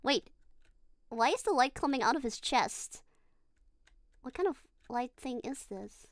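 A woman's voice: high voice, animated, unhurried, no room sound, clean studio sound. A woman streaming a horror game, chatting casually, giggling and talking to her character. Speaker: VTuber streamer. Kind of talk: casual gaming livestream chat.

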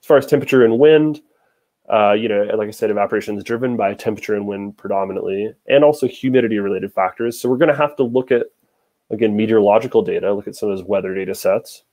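A young man with an American accent and a fairly low voice gives an informal science lecture. He explains step by step, posing questions and then answering them. He speaks over a video call from indoors. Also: quick pace, a little mellow. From Nevada. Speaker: hydrologist giving a lecture.

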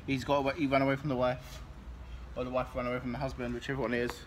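A man's voice: level tone, rapid-fire. A young man, chatting casually outdoors into a handheld phone. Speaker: holiday vlogger. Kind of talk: casual chat. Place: outdoors under a concrete canopy outside an airport. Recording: noisy outdoor phone recording, traffic rumble.

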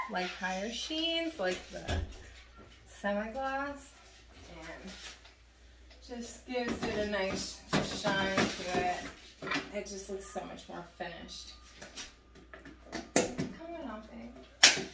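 A woman in her thirties chatting casually as she paints furniture, gushing, with lots of fillers. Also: medium pitch. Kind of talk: casual how-to narration while working. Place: tiled room indoors at home. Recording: echoey room, distant mic, noisy.

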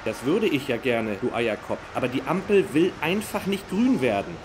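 A man's voice: medium pitch, big pitch swings, normal speed, natural voice. A man voicing a grumpy, annoyed male car driver stuck in traffic in a children's audio drama. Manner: gruff, annoyed. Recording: street traffic noise behind.

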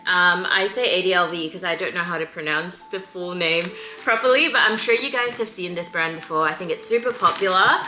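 Bright-voiced chatty woman vlogger. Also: on the low side, a little fast, echoey room, rough recording. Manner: chatty, enthusiastic, lots of "like" fillers. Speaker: fashion and sneaker vlogger. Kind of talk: casual shop-with-me haul chat.

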